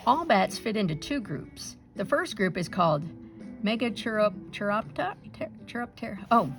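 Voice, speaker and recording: Female storyteller for children's picture book read-aloud. Mid-pitched, creaky edge, expressive delivery, faint music underneath. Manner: expressive, acts out sounds and dialogue.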